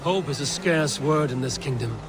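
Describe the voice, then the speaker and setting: An actor playing a resolute Viking war leader in a video game, blunt and commanding. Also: male voice, mid-range voice, moderate pace, close to an everyday voice. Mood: stern. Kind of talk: video game drama acting. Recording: clear outdoor game ambience noise.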